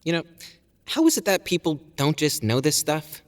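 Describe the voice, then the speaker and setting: A man's voice: on the high side, sing-song, moderate pace. A man playing a proud, cocky warrior in a fantasy audio drama, explaining things in a breezy, boastful way. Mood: explanatory, amused. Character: cocky, proud male warrior showing off his powers. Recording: reverberant hall, faint music behind.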